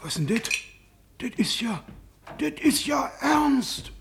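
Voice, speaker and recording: A man acting an obedient, eager town hall clerk in a 1950s German radio drama, loudly deferential like a subordinate soldier. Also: very high voice, very animated, slow pace, heavily acted. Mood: startled. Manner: loud, deferential military-style replies. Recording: faintly noisy old 1950s radio recording.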